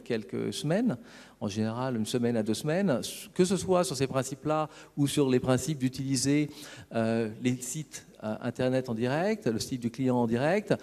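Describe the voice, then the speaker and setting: A man giving a semi-improvised, halting and discursive explanation as an executive at a conference. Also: mid-range voice, dark tone. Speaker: business executive presenting a case study. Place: conference hall on stage. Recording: reverberant hall sound.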